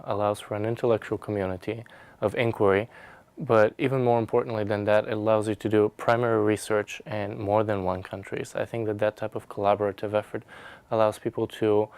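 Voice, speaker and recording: A man's voice: on the low side, gravelly, clear, resonant tone, no echo. A young man from Bulgaria giving a personal testimonial in articulate, formal academic language. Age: young adult. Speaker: research scholar, graduate student. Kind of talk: prepared personal testimonial to camera.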